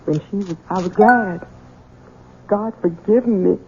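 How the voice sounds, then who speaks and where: A woman's voice: mid-range voice, measured pace, darkish tone, a little hiss or hum, lightly acted. An actress playing a long-suffering, anxious woman servant in a 1970s radio drama, earnest and deferential. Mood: bitter. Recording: narrow, tinny old radio broadcast.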